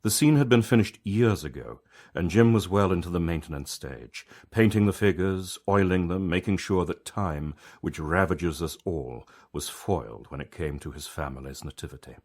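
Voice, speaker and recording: Male author-narrator, low voice, reflective conversational storytelling, measured delivery. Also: a little mellow. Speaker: author reading own story.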